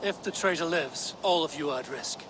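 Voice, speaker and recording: A man's voice: fairly high pitch, background noise, lightly acted. Man playing a stern, probing Viking warrior. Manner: measured, direct. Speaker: video game protagonist. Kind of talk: video game dramatic acting.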